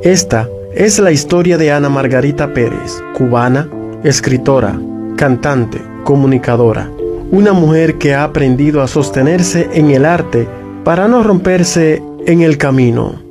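A man reading a scripted radio story in a measured, storytelling way. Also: fairly high pitch. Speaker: radio reporter narrating. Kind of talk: scripted narration. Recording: radio feature with music underneath.

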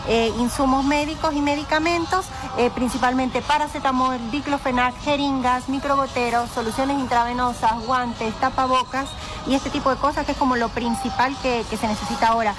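A woman speaking as a campaign spokesperson, briskly listing needed supplies and plans with frequent hesitation fillers. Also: sits high, fairly even intonation, low-quality recording. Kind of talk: on-site radio interview. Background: background music.

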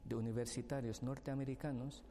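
A man's voice: medium pitch, level tone, warm tone. Filipino man explaining in a reverberant hall. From Philippines. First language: Filipino language. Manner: measured, explanatory, cites studies. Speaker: panel guest. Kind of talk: discussion panel talk.

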